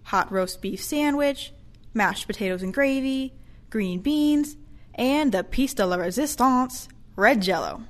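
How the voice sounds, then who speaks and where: A woman's voice: fairly high pitch, lively intonation, a little slow. A woman acting as a cheerful, chatty care-home lunch server in an audio play, playfully formal and helpful.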